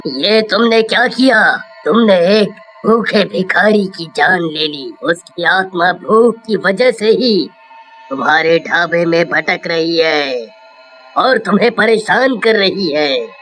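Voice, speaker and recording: A woman acts a serious cartoon man who gives counsel. The voice is low and slow, with a measured, admonishing manner. Music sits behind it. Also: animated, fairly bright tone. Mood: stern. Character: grave male adviser and holy man. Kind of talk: cartoon story dialogue.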